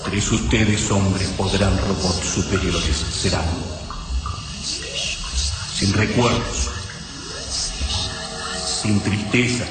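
An actor playing a cold, menacing alien overlord in a radio drama, with lugubrious delivery, stilted inverted phrasing. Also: male voice, low voice, extremely slow, pauses often. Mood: cold menace. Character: alien overlord, male, cold, menacing, speaking broken syntax. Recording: echoey long reverb, loud music behind. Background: loud dramatic music.

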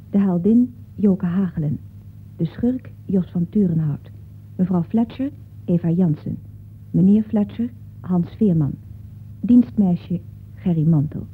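A woman's voice: lowish voice, slow pace, pauses often. A woman announcer formally reading the scripted closing credits of a radio play. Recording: tinny, noisy old radio recording.

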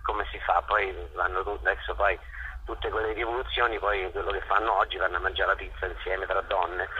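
A man's voice: low-pitched, level tone, brisk, bright, forceful tone, muffled recording, slight background noise. A middle-aged man, talking conversationally in an interview and telling stories with rhetorical questions and acted-out quotes. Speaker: interviewed theatre actor and director.

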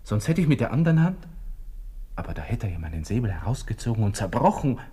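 Husky, low-voiced Austrian lieutenant in despair. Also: male voice, big pitch swings, fast, mellow. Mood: stunned, indignant. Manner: agitated, brooding, breaking into whispers and outbursts. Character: proud, agitated Austrian army lieutenant in despair. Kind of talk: interior monologue in a radio play.

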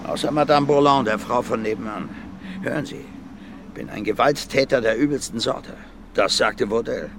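An actor playing a gruff, blunt violent brawler in his fifties under questioning in a radio drama, plain-spoken and repetitive. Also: mid-range voice, moderate pace, background noise, close to an everyday voice. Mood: blunt, matter-of-fact. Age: 50s. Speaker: suspect being questioned.

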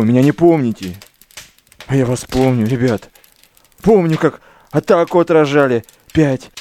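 An actor playing a lost, frantic army lieutenant from the war in an audio drama, plaintive and pleading, repeating himself. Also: male voice, mid-range voice, a little mellow, lightly acted. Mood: dazed, earnest.

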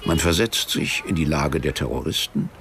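A man narrating a literary radio drama in a measured, deadpan manner. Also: a bass voice, creaky, a little hiss or hum. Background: music behind the voice.

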